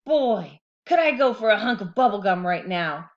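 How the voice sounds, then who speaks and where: A woman's voice: medium pitch, lively intonation, moderate pace, highs cut off, natural voice. A woman voicing a bratty, taunting talking tooth in a storybook read-aloud. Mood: smug. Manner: taunting, shrieking in panic.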